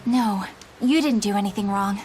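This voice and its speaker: Uma atriz interpretando uma jovem exorcista sincera, educada e formal. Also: female voice, mid-range voice, normal speed, a little mellow, faint music behind, natural voice. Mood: earnest. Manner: polite, formal, earnest. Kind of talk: game dub acting.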